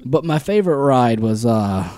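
A man in his twenties chatting on a podcast, his voice mellow and warm. He laughs often and slips into little acted quotes. The sound is dry and close. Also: mid-range voice, animated, moderate pace, no echo. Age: 20s. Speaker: podcast co-host. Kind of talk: casual chat.

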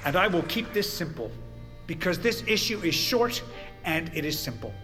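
A man playing an earnest, impassioned American president of the 1910s, giving emphatic oratory with dramatic pauses in an audio drama. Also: high voice, sing-song. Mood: solemn, rousing. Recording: reverberant chamber, music underneath.

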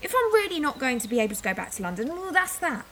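Young daughter on the edge of a new life woman acting, emotional, higher register, naturalistic radio-play delivery. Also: very animated, fast, pushed and bright, slight background noise, exaggerated voice. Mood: elated. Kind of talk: naturalistic audio drama acting.

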